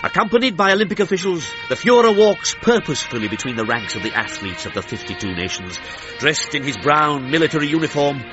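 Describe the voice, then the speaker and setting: A man's voice: fairly high pitch, very animated, fast talker. An actor playing a stately, dramatic male commentator in the style of a 1930s radio broadcast, full of reverence. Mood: stately, awed. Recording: dull band-limited sound with music behind.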